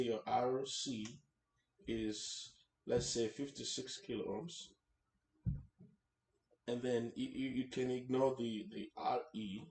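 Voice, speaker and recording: A man teaching a hands-on technical tutorial in an informal way, haltingly, often repeating and rephrasing his words. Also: medium pitch, vocal fry, darkish tone. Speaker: instructor hosting an electronics tutorial series. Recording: echoey room, faint background noise.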